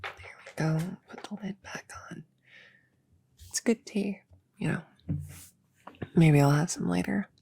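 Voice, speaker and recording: A woman playing a friendly, slightly bumbling novice witch in a soft-spoken ASMR roleplay, breathy and often whispering, with a hesitant, casual way of talking. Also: fairly low pitch, a little slow, long pauses, a little hiss or hum. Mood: reassuring. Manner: hesitant, casual, self-correcting.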